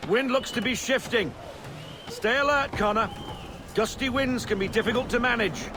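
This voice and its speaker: A man's voice: very high voice, normal speed, background noise. An actor playing a hearty older sea captain in a video game, rousing and salty as he mentors a young sailor. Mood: instructive. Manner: rousing, salty nautical talk.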